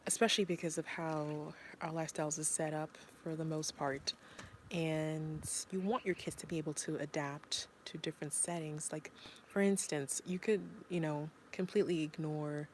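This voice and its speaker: A woman's voice: sits low, a little hiss or hum. A woman from Zimbabwe, a parent, talking casually and earnestly with many fillers and restarts. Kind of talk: casual talk to camera.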